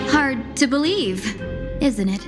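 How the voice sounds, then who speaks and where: Sly fox woman, playful bluffer, slow, fairly high voice, game music behind. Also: heavily acted. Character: sly young fox woman, smooth talker.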